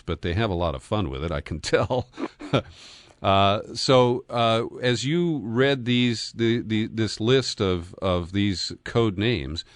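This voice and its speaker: A man hosting an interview, phrasing his commentary and questions in a deliberate, careful way. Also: on the low side, no echo, clean studio sound. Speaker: podcast host, interviewer.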